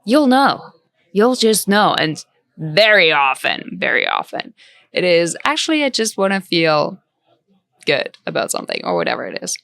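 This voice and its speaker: A woman's voice: fairly low pitch, sing-song, moderate pace, vocal fry, fairly bright tone. A woman hosting a podcast interview, enthusiastic and affirming toward her guest. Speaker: podcast host. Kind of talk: casual interview chat.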